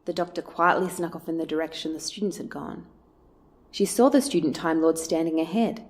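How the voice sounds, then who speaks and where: A woman's voice: low voice, brisk. A woman reading scripted story narration for an audio drama. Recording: music under the voice.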